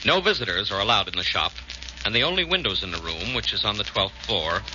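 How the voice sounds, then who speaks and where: A man's voice: fairly low pitch, animated, fast, background noise. A man narrating a scripted mystery as a 1940s radio announcer. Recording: muffled old radio broadcast with music.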